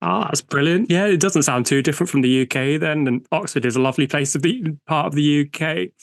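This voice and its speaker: A man from the UK hosts an interview podcast in a slightly higher than usual voice. He reads intros smoothly but stumbles a bit when he talks freely. The sound is close and dry. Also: no echo. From United Kingdom. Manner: friendly, slightly halting when improvising. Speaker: podcast host.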